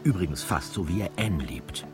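Music plays behind a very deep male voice. the easygoing narrator of a radio drama, telling of village life with wry, unhurried calm. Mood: wry, musing.

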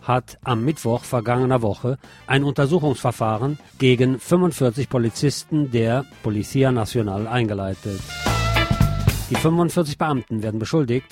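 A man presenting scripted local news on the radio in a measured newsreader's delivery. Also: medium pitch, a little mellow, breathy edge.

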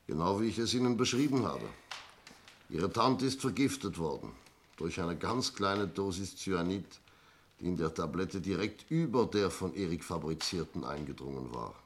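An actor playing a shrewd police inspector in a 1960s German radio crime play, deliberate, teasing and courteous. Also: male voice, deep voice, animated, a little hiss or hum. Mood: calm, explaining. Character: shrewd, theatrical male police inspector, toying with suspects.

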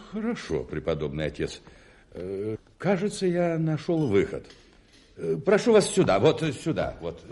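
Low, husky, flustered hotel manager. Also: male voice, darkish tone, full character voice. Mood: fussy, pleading. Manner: flustered, hesitant, repeating himself. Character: fussy, anxious male hotel manager, obsequious towards gentlemen. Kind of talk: radio play acting in Russian.

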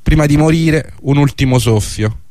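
A man's voice: on the high side, normal speed, warm tone, no echo, studio quality. A man reading a scripted historical narration for a radio drama.

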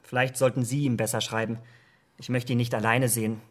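An actor playing an impressionable, vain young gentleman in a German radio drama, speaking in an earnest, rapturous way. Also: medium pitch, level tone, brisk, natural voice. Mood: light, petulant.

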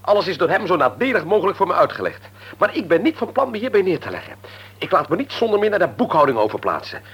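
A man's voice: sits high, very animated, fast, a bit bright, husky, exaggerated voice. An actor in a radio drama playing an aggrieved grown son who argues insistently in his own defence. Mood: indignant. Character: indignant grown son, demoted at work, stubbornly defending himself. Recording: old radio play recording.

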